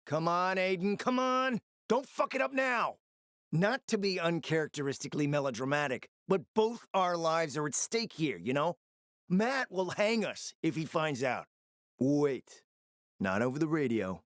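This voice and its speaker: A cunning male spymaster for a dramatic video game. His delivery is theatrical and highly animated, swinging between sly sarcasm and pressing urgency. The sound is dry, with no room around the voice. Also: a little slow, no room sound. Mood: alarmed, pressing, then conspiratorial.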